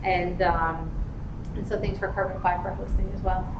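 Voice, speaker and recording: A woman's voice: on the low side, level tone, normal speed. Chatty woman speaking in an echoey room. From Argentina, grew up in Los Angeles. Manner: chatty, many fillers and restarts. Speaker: panel speaker, former innovation head. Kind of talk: conversational panel talk. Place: event space with live audience. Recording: echoey room, dull sound, noisy background.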